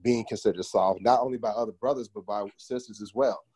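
Lower-voiced American man, thoughtful interviewer. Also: a little fast, darkish tone, husky. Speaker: interviewer, book podcast host. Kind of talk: conversational interview with reflective comments.

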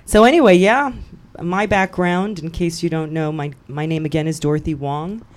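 A woman's voice: low-pitched, normal speed. Chatty woman from Hawaii. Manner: chatty, laughs easily. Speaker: guest, cycling event promoter. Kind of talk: casual radio chat.

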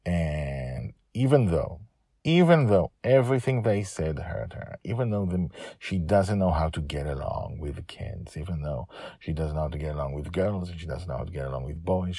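Podcast host, male, middle-aged, low-pitched, emphatic storyteller who leans on pauses and repetition. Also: expressive, dark tone, breathy edge. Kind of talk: emphatic solo storytelling podcast commentary and credits.

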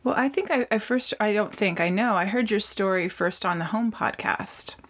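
A woman's voice: mid-range voice, moderate pace, fairly bright tone, breathy edge. A woman in her forties co-hosting a casual podcast interview, bubbly and gushing, laughing often. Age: 40s. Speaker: podcast co-host.

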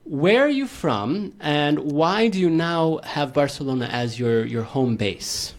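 A man's voice: a little high, expressive, a little slow. A man hosting a radio interview show, friendly and joking, who often rephrases his questions as he asks them. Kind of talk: casual interview.

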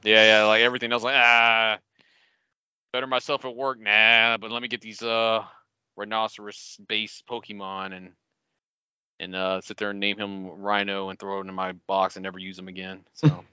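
A man with a fairly low, forceful voice chats casually as a podcast co-host. He rambles and swears freely, poking fun at himself. The sound is close and dry but slightly dull and lo-fi. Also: flat delivery, moderate pace, smooth, no room sound. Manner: profane, rambling, self-mocking storytelling. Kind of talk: casual gaming podcast chat.

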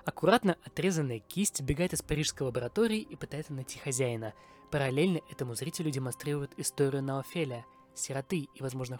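A man from Kazakhstan hosting a podcast, delivering a scripted review monologue. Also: medium pitch. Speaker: podcast host.

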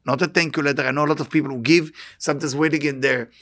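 A man's voice: fairly high pitch, fairly even intonation, racing, fairly bright tone. A man with an Israeli accent giving an inspirational talk as a spiritual teacher, emphatic and repeating short phrases. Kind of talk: inspirational talk with anecdotes to a live audience.

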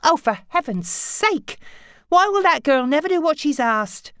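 A woman's voice: high-pitched, very animated, a little slow, fairly bright tone, no room sound, studio quality, voice pushed up, heavily acted. A woman voicing an exasperated, bossy middle-aged mother in an audiobook novel, nagging and scolding. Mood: impatient, bossy.

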